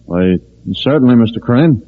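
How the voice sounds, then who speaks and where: A man's voice: medium pitch, lively intonation, moderate pace, slight background noise, lightly acted. A man acting as a polite, businesslike civil defense director in a 1950s radio drama. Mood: courteous, businesslike. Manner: courteous, businesslike chairing of a meeting. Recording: tinny old radio recording.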